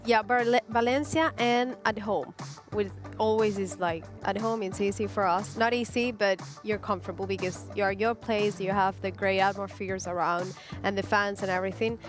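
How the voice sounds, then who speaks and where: A young woman from Venezuela, a Spanish speaker with a Venezuelan accent, co-hosting a casual football chat in animated, slightly broken English. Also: medium pitch, normal speed, hardly any pauses, over music. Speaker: co-host.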